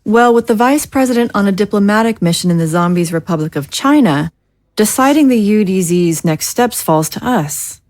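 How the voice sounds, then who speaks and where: A woman playing a composed, formal government leader in an audio drama, speaking in a measured, diplomatic way. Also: mid-range voice, animated, darkish tone, dry recording, close to an everyday voice. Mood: measured, grave.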